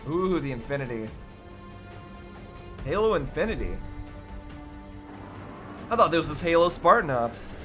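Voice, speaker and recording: A man giving casual reaction commentary in a halting, musing way. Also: fairly high pitch, very animated, fairly bright tone, soft background music. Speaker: reaction video host.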